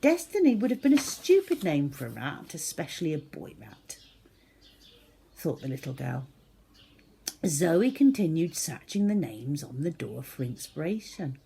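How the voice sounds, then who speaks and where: A woman reading a children's book aloud as a storyteller, in an expressive, theatrical manner. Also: deep voice, measured pace, creaky, a little hiss or hum. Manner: animated, theatrical, with acted-out sound effects.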